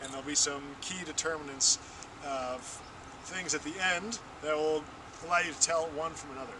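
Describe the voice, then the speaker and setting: A young American man giving an explanatory training talk in a halting way, as an instructor in the field. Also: on the high side. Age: young adult. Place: outdoors on a wooded riverbank. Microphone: camera mic a few steps away. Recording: distant camera mic, noisy outdoor ambience.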